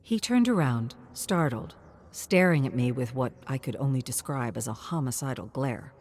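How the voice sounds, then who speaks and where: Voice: medium pitch, lively intonation, normal speed, a little mellow. A narrator reading a scripted first-person journal log.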